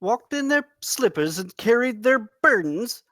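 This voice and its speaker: A performer acting an earnest, cautious servant companion to a princess in a script read, measured and courteous. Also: medium pitch, animated, fairly bright tone, dry recording. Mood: cautioning. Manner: measured, courteous, formal diction.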